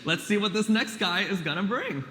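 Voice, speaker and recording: A man with a high voice hosts a dating show in a cheerful, encouraging way. His voice rings in a big reverberant space, and clear background noise sits under it. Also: lively intonation, normal speed. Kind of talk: upbeat show hosting.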